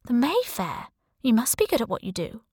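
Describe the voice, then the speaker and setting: Lady reading as a friendly flirty spy woman, higher voice, polite chit-chat, audiobook, dry sound. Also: wildly swooping pitch, normal speed, darkish tone, breathy edge, no echo, exaggerated voice. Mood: friendly, curious. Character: smiley, flirty, polite female intelligence officer. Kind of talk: audiobook character dialogue.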